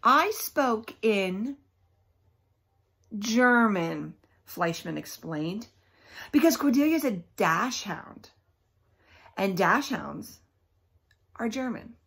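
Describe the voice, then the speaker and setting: Female primary-teacher type, gravelly fry and husky edge, animated storybook reading with pauses and prompts for kids. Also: mid-range voice. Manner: animated, pausing often for emphasis and to prompt listeners. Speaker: teacher reading a children's book aloud. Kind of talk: expressive read-aloud with questions to young listeners.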